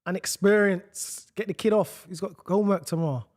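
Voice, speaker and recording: A man co-hosting a live football watch-along, reacting in quick, animated bursts. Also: high voice. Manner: animated, quick exclamations and running commentary. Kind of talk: excitable live match reaction.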